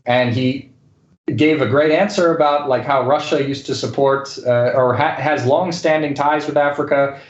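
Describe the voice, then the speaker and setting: A man's voice: medium pitch, steady tone, normal speed. A man speaking casually as an interview guest, with a hesitant delivery full of fillers. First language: English and Spanish. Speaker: guest, correspondent and news anchor. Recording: dull lo-fi roomy sound.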